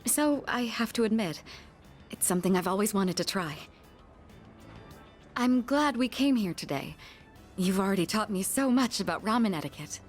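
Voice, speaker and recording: A woman performs a polite, well-bred young woman from a video game, with a lively, melodic delivery. She is earnest and naively curious, choosing formal words and the odd French phrase. Faint music plays behind her. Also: medium pitch, normal speed, pauses often, lightly acted. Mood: warm, wistful.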